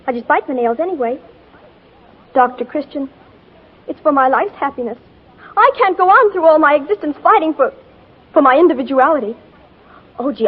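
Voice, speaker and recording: High-pitched, headstrong teenage tomboy. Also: female voice. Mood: pleading, indignant. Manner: earnest, slangy, gushing. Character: headstrong fifteen-year-old tomboy girl, breathless and dramatic. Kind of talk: 1940s radio drama acting. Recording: muffled old 1940s radio recording.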